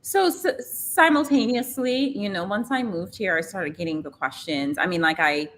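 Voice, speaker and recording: A woman's voice: medium pitch, moderate pace. A woman in her thirties from Trinidad with a Trinidadian accent, a podcast guest telling stories with frequent laughter. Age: 30s. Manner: laughs often, lively storytelling. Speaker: guest, model agency founder. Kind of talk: podcast interview.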